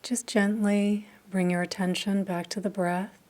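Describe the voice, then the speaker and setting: A woman leading a guided meditation, speaking softly and calmly with long pauses between phrases. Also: mid-range voice. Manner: slow, soft, calm with long pauses. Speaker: meditation teacher.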